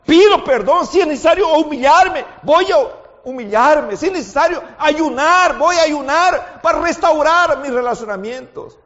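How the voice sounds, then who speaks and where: A male preacher with a high, lively voice, moving from hushed words to fervent, rising calls. He speaks a language that is not his native Portuguese and keeps drawing in the congregation with questions. The sound is dull and muffled, with a long reverb trailing behind him like a large hall. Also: measured pace. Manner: swings from quiet talk to loud, impassioned preaching; asks the audience frequent questions. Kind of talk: sermon.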